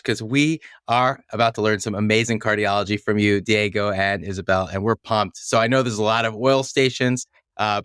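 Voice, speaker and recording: A man hosting a podcast, giving the show's introduction in a playful, energetic way. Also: fairly low pitch, smooth, no echo, clean studio sound. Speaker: podcast host. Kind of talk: upbeat show introduction.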